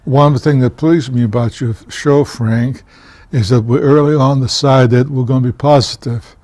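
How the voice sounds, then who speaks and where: Older man from Poland, TV guest; measured, earnest, halting recollection; dry close sound. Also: mid-range voice, steady tone, darkish tone, no room sound. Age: 70s. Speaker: guest author, former county commissioner. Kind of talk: recounting his life story in a TV interview.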